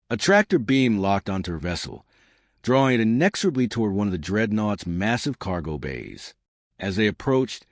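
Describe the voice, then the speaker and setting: A man reading a scripted science fiction adventure story in a dramatic, measured storytelling manner. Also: lowish voice, no echo, clean studio sound. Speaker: audiobook-style story narrator. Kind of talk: scripted narration of a sci-fi adventure.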